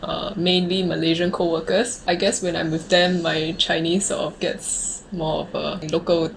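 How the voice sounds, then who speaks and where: A woman in her late twenties with a Singaporean accent, hosting a relaxed, informal conversation. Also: on the low side, normal speed, vocal fry, slight background noise, low-quality recording. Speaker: host of a conversational video series. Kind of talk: casual chat.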